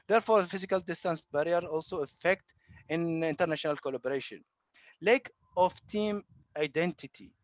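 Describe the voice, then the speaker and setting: A high-voiced man from Iraq gives an academic talk over a video call, stopping often to search for words. The sound is dry and close, with a dull, lo-fi quality from the online connection. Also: dry recording. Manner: halting, many fillers and restarts. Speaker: guest lecturer. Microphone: computer mic on video call.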